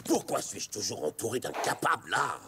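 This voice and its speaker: A man's voice: lowish voice, very animated, moderate pace, very noisy. A man voicing a pompous, short-tempered villainous army commander in a French cartoon dub, haughty and theatrical. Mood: exasperated, menacing. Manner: haughty, theatrical, barking orders. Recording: music bed under dialogue.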